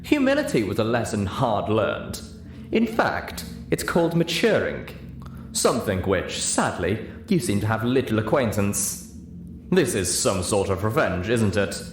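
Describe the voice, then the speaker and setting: A man's voice: fairly low pitch, big pitch swings, measured pace. A man voicing an elegant, refined female unicorn, controlled and politely disdainful, in an audiobook story. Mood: controlled, cutting. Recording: roomy echo, clear background hiss.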